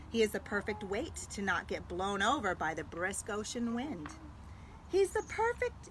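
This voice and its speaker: An American woman, a school librarian, reading a picture book aloud to young children in an expressive way. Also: mid-range voice. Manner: animated, expressive delivery aimed at young children. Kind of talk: read-aloud storytelling for children. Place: at home. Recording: noisy home video with background sounds.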